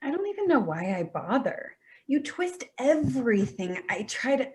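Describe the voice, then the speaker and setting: A woman's voice: fairly high pitch, big pitch swings, normal speed, a little mellow. Woman acting a defensive, exasperated grown daughter. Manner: terse, bristling, defensive. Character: defensive, exasperated adult daughter with teenage child. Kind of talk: staged play reading.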